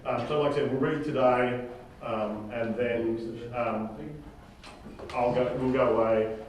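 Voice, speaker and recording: A man leading a project, informally briefing a group at a table read in a halting, think-aloud way. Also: mid-range voice, fairly even intonation. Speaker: project leader and director running a table read. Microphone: distant room microphone. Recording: distant, echoey room with clear noise.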